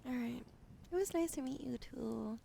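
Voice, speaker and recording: A young woman streaming ASMR, drowsy and soft-spoken, slipping into whispers as she chats. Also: high-pitched, moderate pace, gravelly, a little hiss or hum. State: sleepy. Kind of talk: sleepy ASMR stream chat.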